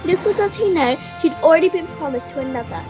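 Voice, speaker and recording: A woman's voice: very high-pitched, expressive, quick pace. A woman acting as the dramatic narrator of a comic melodrama radio play. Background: music.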